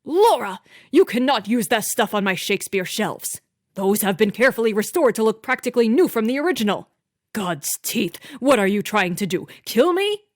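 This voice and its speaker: A woman voicing a fussy, possessive husband who scolds loudly. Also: on the high side, very animated, normal speed, no echo, clean studio sound. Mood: furious. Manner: sharp, scolding, loud.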